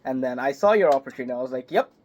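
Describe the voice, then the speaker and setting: A young man, a voice actor, chatting casually in an interview, halting and filling with "like" and "yep". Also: medium pitch, expressive, a little mellow. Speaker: voice actor guest. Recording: slightly muffled call audio.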